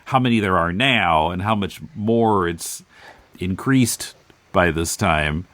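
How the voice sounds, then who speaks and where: A man hosting a podcast, chatting casually and reflectively about comics in a halting, tentative way. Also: low-pitched, a bit bright, dry recording. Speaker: podcast host. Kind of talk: casual comic book discussion.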